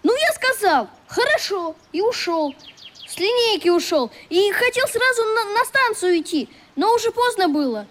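A very high, lively boy's voice, frank and stubborn, with proud earnestness. It has a boyish, slightly defensive way of talking, as in a radio drama. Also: moderate pace, slight background noise, exaggerated voice. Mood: earnest, defensive. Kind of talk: radio play acting.

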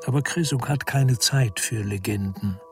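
A male actor as a detached narrator tells an Arctic tale for a radio drama. His voice sits at a medium pitch and his delivery is measured and literary. Faint music plays behind. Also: vocal fry, lightly acted. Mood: ominous.

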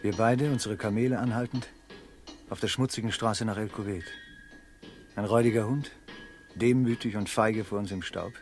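An actor playing a proud, love-struck merchant in a radio play, poetic, brooding and imperious. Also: male voice, fairly low pitch, fairly even intonation, normal speed, pauses often, soft background music, natural voice. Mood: brooding, uneasy. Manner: impassioned, poetic, swinging from hushed to commanding. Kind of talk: German radio play acting.

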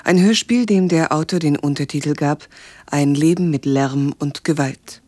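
Measured radio announcer, close and dry. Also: medium pitch, darkish tone, no room sound. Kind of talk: scripted radio play introduction.

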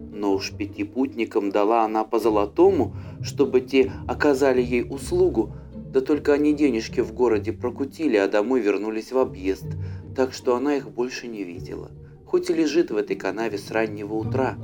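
A man reading a fairy tale as an audiobook narrator, in an expressive storytelling style. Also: medium pitch, brisk. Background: faint music.

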